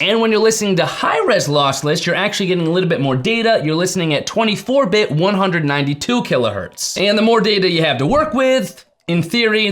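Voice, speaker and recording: Male tech vlogger, higher voice, very lively intonation, chatty and emphatic explainer delivery. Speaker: tech video presenter. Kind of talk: explainer vlog to camera.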